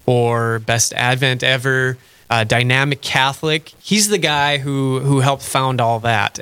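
A man hosting a radio talk show speaks with a bright, ringing tone. He chats in a loose, friendly way and laughs easily at his own jokes. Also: medium pitch, clean tone. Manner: chatty, self-deprecating, laughs easily. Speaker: radio host. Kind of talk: casual faith radio chat.

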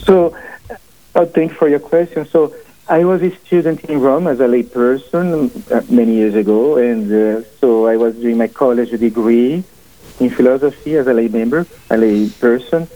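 A man in his forties with a Portuguese accent, a priest guest speaking haltingly, with many fillers and restarts, on a radio interview. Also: mid-range voice. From family from Portugal. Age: 40s. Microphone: phone call into studio. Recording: phone line.

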